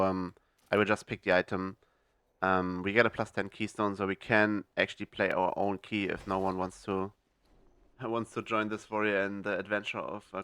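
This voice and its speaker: A man's voice: lowish voice, a bit bright, clean tone, no room sound. A young man hosting a gaming video, talking through his choices in a casual, hesitant way with lots of fillers and restarts. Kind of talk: casual gameplay commentary.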